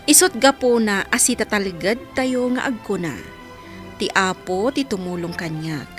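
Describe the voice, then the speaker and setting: A woman's voice: mid-range voice, normal speed. A woman with an Ilocano accent reading solemnly from a script as a radio drama programme announcer. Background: music.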